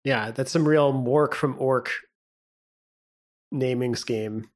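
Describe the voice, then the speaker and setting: A young man co-hosting a podcast in casual chat, relaxed and rambling, laughing often. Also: mid-range voice, takes it slowly. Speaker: podcast co-host.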